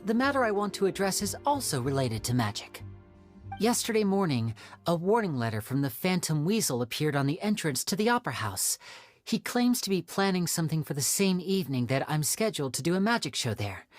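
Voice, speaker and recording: Animated, low-pitched, courteous young stage magician. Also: female voice. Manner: measured, courteous, persuasive. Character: smooth, polite young stage magician and schemer. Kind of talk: English game voice acting.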